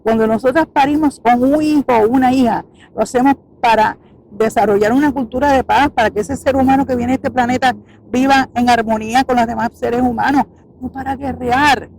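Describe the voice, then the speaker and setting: A woman's voice: a little high, steady tone, fairly quick, darkish tone, a little hiss or hum, poor audio. A Puerto Rican woman who founded an activist group, giving an earnest, emphatic speech to the camera outdoors in front of a building. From Puerto Rico.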